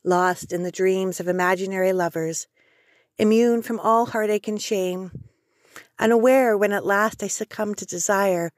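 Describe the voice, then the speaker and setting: Slow, deliberate woman reciting poetry. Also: medium pitch, dry recording, studio quality. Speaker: poet. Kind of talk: poetry reading.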